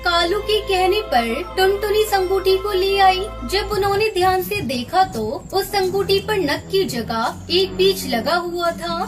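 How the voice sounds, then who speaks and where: A woman tells a children's story in scripted narration. Her voice is strikingly high. Music plays steadily behind her. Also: lively intonation, measured pace, hardly any pauses.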